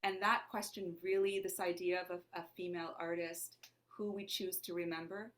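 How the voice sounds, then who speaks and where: A woman's voice: on the low side, level tone, normal speed, fairly bright tone. A woman born in Lebanon whose first language is Armenian, an actor and playwright talking in an interview in a thoughtful, searching way. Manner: thoughtful, searching, asks herself questions aloud. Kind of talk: conversational interview.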